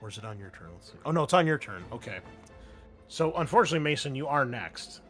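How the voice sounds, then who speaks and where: A man's voice: mid-range voice, expressive, quick pace, fairly bright tone. A man running a tabletop roleplaying game, talking casually and thinking aloud as he works through the rules. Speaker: game master. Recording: music behind. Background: music.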